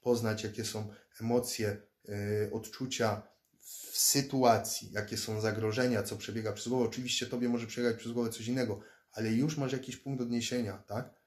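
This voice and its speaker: A man's voice: mid-range voice, fairly even intonation, normal speed. A man in his thirties from Poland, talking casually to a close-up phone camera from a small room indoors, rambling and often repeating words as he restarts. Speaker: fighter and self-defense instructor vlogger. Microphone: phone or laptop camera close up.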